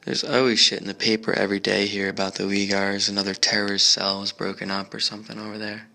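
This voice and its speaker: A man's voice: fairly low pitch, little pitch movement, fast talker, gravelly, sharp tone. An American man chatting casually as a travel vlogger in a museum park, rambling with many fillers and restarts.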